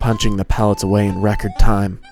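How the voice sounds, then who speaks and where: A man's voice: on the low side, flat delivery, fairly quick, creaky, a little mellow. A man reading scripted fan fiction as the narrator of a dramatized story. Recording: music behind the voice.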